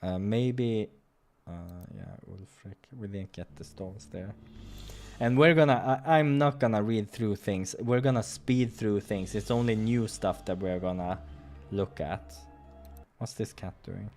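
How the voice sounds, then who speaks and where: A man's voice: fairly low pitch, a little slow, a little mellow. A man hosts a visual novel playthrough with music behind him. He reads the narration aloud and drops into casual remarks, sometimes tripping over a line and correcting himself. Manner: stumbles over read lines and corrects himself. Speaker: let's player reading a visual novel aloud. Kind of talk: gaming playthrough, reading narration with casual asides.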